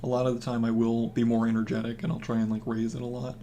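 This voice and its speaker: A young man with a naturally hoarse voice, casually commenting on a game he plays while reading and answering his chat. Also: monotone, fast talker, mellow, dull audio, slight background noise. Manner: low-key, mumbling, encouraging. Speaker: game streamer.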